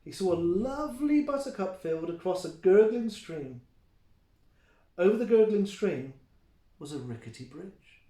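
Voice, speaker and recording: Slow, higher-pitched man reading a storybook. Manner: expressive, deliberate phrasing. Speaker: early years teacher reading stories. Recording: roomy, echoing sound.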